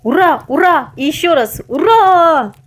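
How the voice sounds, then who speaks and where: A woman reading a scripted story aloud as an expressive narrator. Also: extremely high pitch, a little slow.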